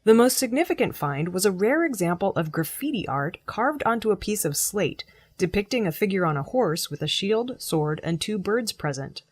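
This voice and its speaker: A woman reading scripted news as a presenter, in a measured, formal delivery. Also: mid-range voice, sing-song, breathy edge. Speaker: news reader.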